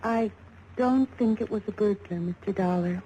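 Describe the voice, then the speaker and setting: Mellow, measured glamour model played by an actress. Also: female voice, medium pitch, close to an everyday voice. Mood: bitter. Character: shaken but scornful glamorous young female fashion model. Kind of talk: 1950s radio drama acting. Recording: faintly noisy vintage radio recording.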